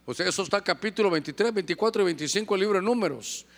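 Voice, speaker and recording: High-voiced male preacher, lively and joking, acts out little scenes. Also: fast. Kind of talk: sermon.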